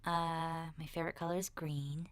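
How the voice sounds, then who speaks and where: A woman voicing an awkward ten-year-old boy in a hesitant, rambling ASMR roleplay diary, soft and breathy and often slipping toward a whisper. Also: low voice, flat delivery, moderate pace, no echo, natural voice. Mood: shy, awkward. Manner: hesitant, shy, rambling with sighs and self-corrections.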